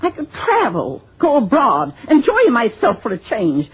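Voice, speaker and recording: An American actress playing a bitter, greedy middle-aged spinster schoolteacher with shrill, spluttering indignation in a 1950s radio drama. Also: female voice, huge pitch swings, measured pace, husky, exaggerated voice. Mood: indignant, bitter. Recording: dull, lo-fi old radio recording.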